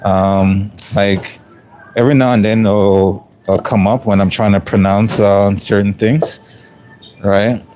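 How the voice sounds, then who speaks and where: Laid-back Jamaican-Canadian man, low and slow. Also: slight background noise. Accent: Jamaican-Canadian, mostly Canadian. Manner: relaxed, rambling, many ums and uhs. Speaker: cooking livestream host. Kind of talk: casual livestream chat while cooking.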